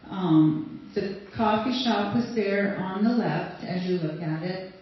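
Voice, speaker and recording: An older woman from Sweden reminisces slowly and chattily, trailing into fillers and soft laughs. She sounds distant from the mic in a very echoey room. The recording is dull and lo-fi. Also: mid-range voice. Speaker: guest speaker sharing memories. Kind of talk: casual storytelling to an audience.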